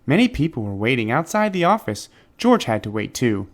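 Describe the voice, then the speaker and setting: A man reading a children's story aloud as narrator, phrasing it deliberately and expressively for young listeners. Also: mid-range voice, big pitch swings. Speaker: storybook reader.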